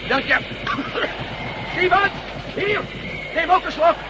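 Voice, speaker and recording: A man's voice: slow pace, dull audio. A man playing a wry, plain-spoken resistance fighter in a science fiction radio drama. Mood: wry relief. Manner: wry, colloquial. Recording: very noisy radio play, engine rumble.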